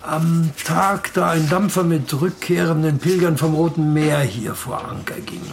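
An actor playing a talkative, excitable ship chandler with a gravelly voice, telling things emphatically in a German radio play. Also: male voice, a little high, unhurried, slight background noise. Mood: aggrieved, chatty. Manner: garrulous, emphatic storytelling.

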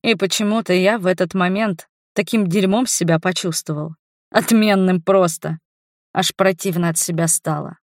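A woman's voice: lowish voice, normal speed, no echo. Woman narrating fiction in a very dry recording. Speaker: audiobook narrator. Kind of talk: dramatic first-person fiction narration.

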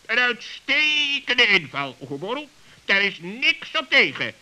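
A man's voice: extremely high pitch, sing-song, unhurried, exaggerated voice, low-quality recording. A man playing a clever, persuasive talking bird with a piercing voice and a pedantic, emphatic manner in a children's radio play. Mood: impressed, approving. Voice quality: sharp, piercing.

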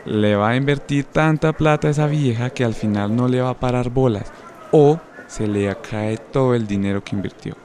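A man's voice: medium pitch, moderate pace, a little mellow, close to an everyday voice. A man playing a skeptical, teasing young friend in a radio soap, blunt and slangy with a Bogotá accent. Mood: cynical. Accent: Colombian, Bogotá. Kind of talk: amateur radio soap opera acting.

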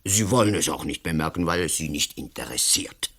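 Smug politician with wildly swooping delivery. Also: male voice, extremely low pitch, lively intonation, fairly quick, a bit bright, husky, heavily acted. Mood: sly, cynical. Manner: condescending, theatrical, emphatic. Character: domineering, smug male politician, blustering and sly. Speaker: actor in a radio play. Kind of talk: German-language radio drama acting.

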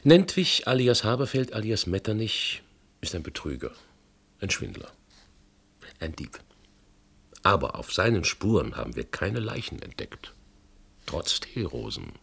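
An actor playing a probing, politely ironic and sceptical investigator in a German radio play, questioning formally and deliberately with a gravelly, husky voice. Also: male voice, on the low side, very animated, a bit bright, heavily acted. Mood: dry irony.

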